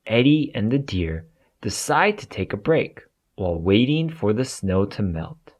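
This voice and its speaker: A man reads a children's storybook aloud with lively, swooping pitch. He takes his time over the words, and his voice has a slightly husky edge. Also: unhurried. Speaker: storybook narrator.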